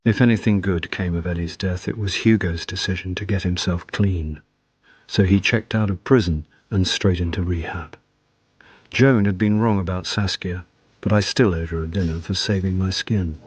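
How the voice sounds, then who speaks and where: Husky, low-voiced British wry amateur sleuth. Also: male voice, moderate pace, no room sound. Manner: dry, wry, stammers when flustered. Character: wry, hesitant amateur sleuth narrating his own story. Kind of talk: radio drama dialogue and narration.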